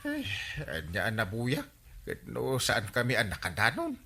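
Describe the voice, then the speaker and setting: An actor playing a comic, stammering village captain with an Ilocano accent in a radio comedy drama. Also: male voice, medium pitch, huge pitch swings, measured pace, sharp tone, full character voice. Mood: defensive. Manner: stammering, sputtering with repeated interjections. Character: comic excitable village captain, husband who likes gallivanting.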